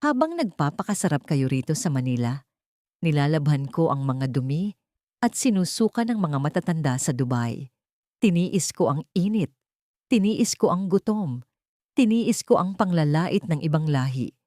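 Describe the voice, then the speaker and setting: A woman's voice: sits low, sing-song, moderate pace, a little mellow, dry recording, studio quality. A woman voicing a wronged wife in a dramatic story, defiant and accusing, speaking with emphatic bitterness. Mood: bitter, accusing.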